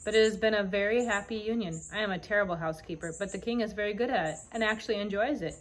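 A woman's voice: medium pitch, rapid-fire, lightly acted. Woman voicing a tiny, gracious, regal storybook queen. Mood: confiding. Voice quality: light, clear.

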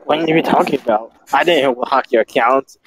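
A man's voice: medium pitch, normal speed, noisy background, natural voice. A man voicing a blustering, stammering villain in an improvised comedy fan dub of a game. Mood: flustered. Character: blustering, stammering villain Eggman bargaining with his accusers.

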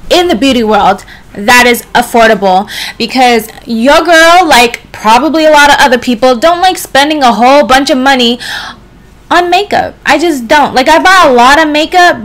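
A young woman in her twenties with a slightly raspy voice, chatting casually to camera in a bedroom as a beauty vlogger, just up from a nap. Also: sits high. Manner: chatty, expressive hand gestures, playful asides. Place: bedroom, indoors. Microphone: camera in front at desk distance.

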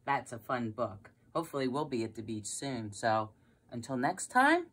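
A woman's voice: extremely low pitch, big pitch swings, normal speed, a bit bright, breathy edge. A middle-aged woman reading a picture book aloud to young children like a preschool teacher, with questions and exclamations. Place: carpeted basement room at home, indoors.

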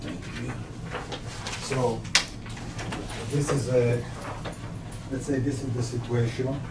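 An Italian man with an Italian accent giving a progress presentation as site manager in halting, repetitive non-native English. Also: medium pitch, steady tone. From Italy. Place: small indoor meeting room. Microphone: distant camera microphone. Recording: echoey noisy meeting room from far off.

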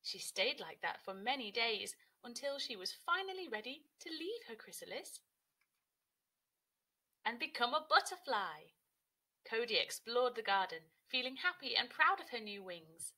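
A woman's voice: fairly high pitch, very animated, a little slow, sharp tone. A woman in her thirties, a teacher, narrating a children's story expressively.

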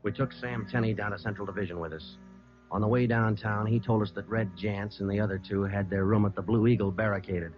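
An actor playing a tough, dry police detective who also narrates in 1950s radio drama, terse and matter-of-fact. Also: male voice, on the low side, steady tone, brisk, loud music behind the voice, close to an everyday voice. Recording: muffled old radio recording.

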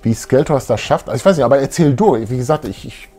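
Enthusiastic man chatting, medium pitch. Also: animated, very fast, dark tone, faint music behind. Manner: enthusiastic, colloquial. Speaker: co-host of a retro fan show. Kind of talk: casual fan chat. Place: small indoor room full of collectibles.

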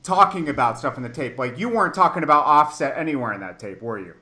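A man's voice: mid-range voice, expressive, quick pace, bright, forceful tone. A man hosting a casual, slangy hip-hop interview over a video call, talkative and emphatic, often repeating phrases. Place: indoor room, on a video call.

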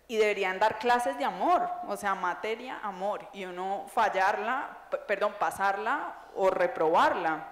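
A woman in her thirties with a Colombian accent speaks on a stage panel with lively humor and strong emphasis. Her voice sits at a middle pitch. A roomy hall with long reverb surrounds her handheld mic. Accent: Colombian Spanish. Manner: animated, jokey and emphatic. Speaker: author panelist. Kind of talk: onstage panel talk. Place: large hall stage before an audience.

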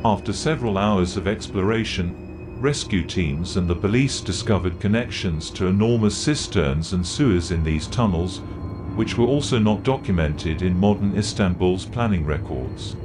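A man reading scripted documentary-style horror narration in a measured, factual way. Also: sits low, lively intonation, noisy background. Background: music.

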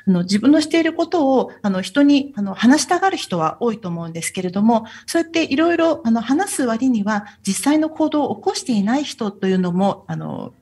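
A woman's voice: a little high, sing-song, brisk, few pauses, dark tone, slightly rough. A woman working as an interpreter at a film festival talk, relaying careful, filler-heavy answers between English and Japanese. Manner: careful, filler-laden delivery.

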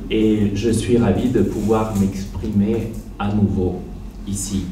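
A man in his forties, speaking French as a second language, delivers an academic talk at a conference table into a handheld mic. He speaks slowly and carefully in a low, mellow voice, pausing with fillers. The sound is roomy and a little distant, with faint background noise. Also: steady tone. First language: not French. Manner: careful, hesitant with frequent pauses and fillers. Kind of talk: academic lecture. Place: conference hall, seated at a panel table. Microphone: handheld microphone. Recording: echoey hall, slightly distant.

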